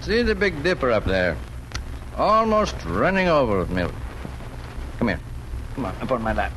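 Gentle folksy farmer father, lively pitch. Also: normal speed, slight background noise, low-quality recording. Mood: tender, wistful. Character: gentle, wistful farmer father, middle-aged. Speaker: radio drama actor. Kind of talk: 1940s radio acting. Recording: old 1940s radio broadcast with music.

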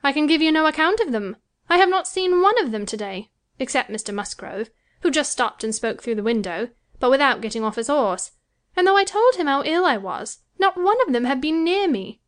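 A woman playing a self-pitying, querulous young married gentlewoman in a dramatised classic novel, complaining and self-important. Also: sits high, expressive, fairly quick. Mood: aggrieved, complaining.

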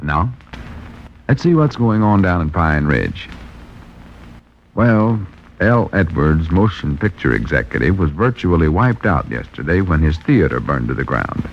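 Super deep american announcer guy, 1940s radio narration, muffled old recording. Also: big pitch swings, measured pace, a little hiss or hum.

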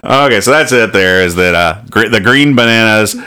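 Guy podcast host w a low but bright forceful voice, chatting about comics, dry teasing jokes. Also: expressive, normal speed, clean tone. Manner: joking, dryly teasing. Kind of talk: casual comic-strip commentary.

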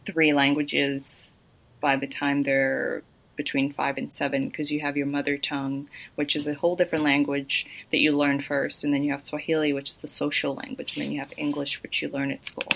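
A woman's voice: low voice, completely flat delivery, moderate pace, gravelly, poor audio. A young woman from Kenya whose first language is Luo, chatting playfully as a podcast guest with teasing asides. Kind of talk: casual chat.

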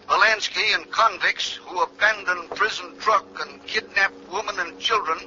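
An actor playing an urgent male police dispatcher, calling out clipped, forceful emergency bulletins in a 1940s radio drama. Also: high voice, full character voice. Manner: clipped, repetitive, forceful announcing. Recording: dull, hissy old radio recording.

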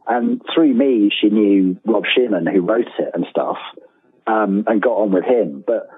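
A man's voice: lowish voice, very animated, unhurried, husky, dry recording. A middle-aged man talking casually as an interview guest, rambling, self-deprecating and enthusiastic, over a thin phone-like line.

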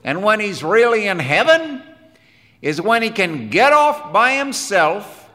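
A man voicing a gruff, hard-nosed, angry father in an audiobook, with blunt, folksy, forceful talk. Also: high voice, sing-song, measured pace, long reverb tail, voice pushed up, exaggerated voice. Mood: rueful. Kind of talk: audiobook character dialogue.

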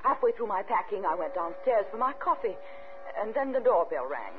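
Actress as an anxious widow, thin old-radio sound. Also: female voice, medium pitch, very animated, normal speed, a little hiss or hum, natural voice. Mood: shaken. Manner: dramatic, intense, confessional first-person telling. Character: anxious, cold-hearted widow, outwardly proper, inwardly unravelling. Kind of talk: 1950s radio thriller acting and narration. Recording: muffled narrow-band 1950s radio with music.

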